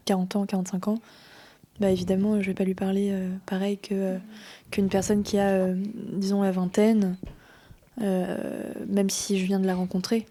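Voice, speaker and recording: A woman in her twenties shares her views in a casual table chat, hedging as she goes and filling pauses often. Her voice is mid-pitched with a mellow, soft tone. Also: a little hiss or hum. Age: 20s. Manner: thoughtful, hedging talk with many fillers.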